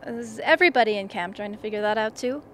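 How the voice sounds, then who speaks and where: A woman's voice: on the high side, quick pace, fairly bright tone, natural voice. A woman playing a worried, weary expedition commander in a radio play. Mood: rueful. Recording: faint background noise.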